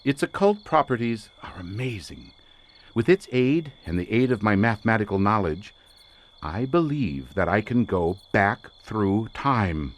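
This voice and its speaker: An eccentric, obsessive scholar of the occult for a radio suspense play. His low voice rises and falls dramatically as he lectures in grandiloquent, theatrical phrases. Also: very animated, measured pace. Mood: visionary fervor. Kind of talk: old-time radio suspense drama acting.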